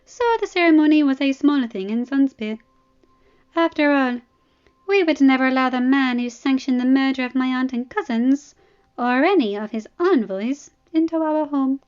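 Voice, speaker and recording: A woman's voice: high voice, moderate pace, a little mellow, smooth, highs cut off, voice pushed up, close to an everyday voice. A woman voicing an amused, provocative young princess with a sensual, drawling manner, as audiobook dialogue. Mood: breezy.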